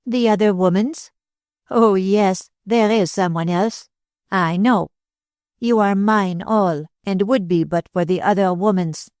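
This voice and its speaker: A woman's voice: mid-range voice, a little slow, darkish tone, no room sound, clean studio sound, voice pushed up, lightly acted. A woman voicing a fiery, jealous Spanish dancer who speaks broken English with a Spanish accent and a tempestuous, dramatic manner. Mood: passionate.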